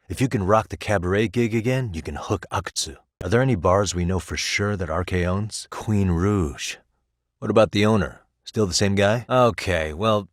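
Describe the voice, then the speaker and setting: Streetwise private detective, low-pitched, dry and self-assured, cool-headed, video game dialogue, close dry recording. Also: male voice, no room sound. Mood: businesslike, inquiring. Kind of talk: English video game voice acting.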